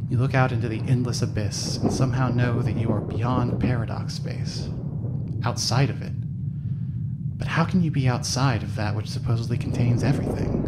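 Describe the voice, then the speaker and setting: A male narrator with a fairly low voice reads a dramatic scripted story. His delivery is measured and ominous, set against very loud stormy ambience. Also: heavy background noise. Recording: loud thunder and ambience behind voice. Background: thunderstorm ambience.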